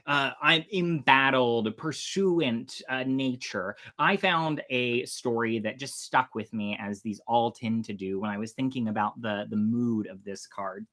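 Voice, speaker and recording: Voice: mid-range voice, a little slow, hardly any pauses, a bit bright. Enthusiastic, informal storytelling host. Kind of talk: chatty intro and dramatic reading of an old sea tale.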